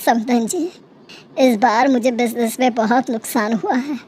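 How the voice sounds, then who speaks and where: A woman's voice: on the high side, unhurried, darkish tone, natural voice. Woman as older father, humble, polite, hesitant, storytelling drama, faint background noise. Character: humble, deferential older father asking for help.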